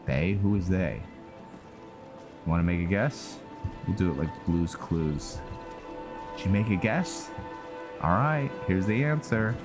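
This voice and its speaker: A man with a very low voice hosts a gaming video, musing casually as he plays. He takes his time and rambles to his viewers, with game music clearly audible underneath. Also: expressive, slow pace, long pauses. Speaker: gaming channel host. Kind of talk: casual game roleplay commentary.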